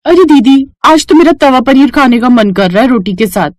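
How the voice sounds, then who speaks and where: Woman acting a shrill, bossy young daughter-in-law. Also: lively intonation, moderate pace, no room sound. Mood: haughty, demanding. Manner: shrill, loud, scornful.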